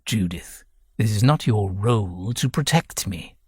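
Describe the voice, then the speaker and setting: A man voicing a weary, querulous, ailing old gentleman in an audiobook. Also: on the low side, lively intonation, a little slow, slightly rough, dry recording, clean studio sound, full character voice. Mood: heavy, regretful. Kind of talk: audiobook character voice.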